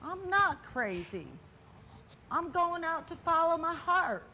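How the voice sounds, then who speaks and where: A woman's voice: very high-pitched, sing-song, slow pace, sharp tone, slight background noise, heavily acted. A woman playing a stubborn, huffy older spinster sister in a comedic stage play, speaking with emphatic repetition. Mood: determined.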